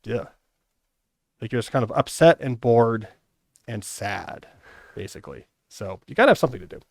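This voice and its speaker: A man's voice: medium pitch, big pitch swings, moderate pace, vocal fry, a little mellow, no room sound, studio quality. A middle-aged man hosting a podcast in casual, joking conversation, with loose restarts. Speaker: podcast host.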